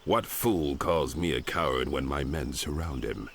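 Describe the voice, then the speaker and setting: A man playing a gruff, commanding rebel leader in a video game, with a measured, authoritative delivery and a deep gravelly voice. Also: full character voice. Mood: challenging. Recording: loud insect and ambience noise behind.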